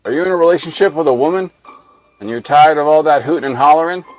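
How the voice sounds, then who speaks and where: Male comedy narrator, higher than average, deadpan mock-expert delivery over faint music. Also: fast, poor audio.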